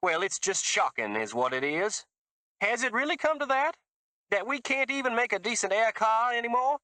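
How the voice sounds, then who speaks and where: Brief: male narrator doing a high, cooing female politician, fake Southern drawl, sugary and insincere. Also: sing-song, normal speed, pushed and bright, no room sound, heavily acted. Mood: gushing.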